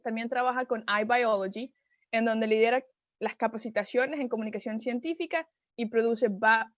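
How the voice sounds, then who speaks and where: A woman's voice: a little high, no room sound. A woman moderating a webinar over a video call, steadily reading out a prepared guest introduction. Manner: steady, reads a prepared bio. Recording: dull dry video call audio.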